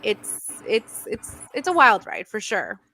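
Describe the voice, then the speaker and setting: A woman's voice: medium pitch, huge pitch swings, slow pace, gravelly, bright, forceful tone, dry recording, low-quality recording. A woman born in Guatemala and raised in California, an upbeat guest chatting on a video call who laughs often. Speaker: business founder. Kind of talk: casual chat.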